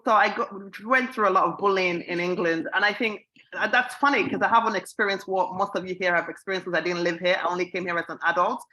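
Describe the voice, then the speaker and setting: Woman from Sierra Leone, panel speaker, bright and forceful tone, lowish voice, earnest. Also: steady tone, quick pace, poor audio. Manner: earnest, repeats herself, rising emphasis. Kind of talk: panel discussion answer.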